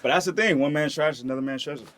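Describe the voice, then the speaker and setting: A man's voice: on the high side, very fast, fairly bright tone. A man, a rapper, talking casually on a podcast in a laid-back way, often tagging his sentences with a check-in phrase. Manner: laid-back, keeps adding "you know what I'm saying".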